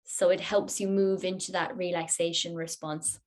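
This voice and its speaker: A woman teaching a yoga nidra class, giving guided relaxation instructions in a gentle, unhurried, soothing manner. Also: fairly low pitch, level tone.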